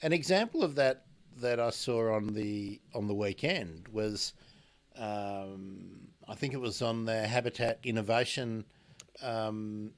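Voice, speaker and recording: Australian male radio host, low voice, slow and unhurried, halting delivery with many restarts. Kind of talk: casual radio interview chat.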